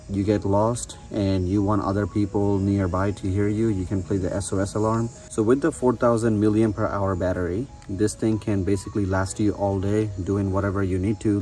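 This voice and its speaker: Low, mellow-voiced man reviewing a product. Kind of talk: casual product review, demonstrating features. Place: outdoors on a residential front porch.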